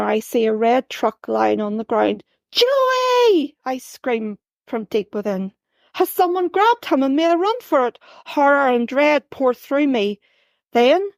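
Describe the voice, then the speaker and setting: A woman reading her own first-person story aloud, expressive and rising sharply for the quoted shouts. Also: fairly high pitch, creaky, dry recording.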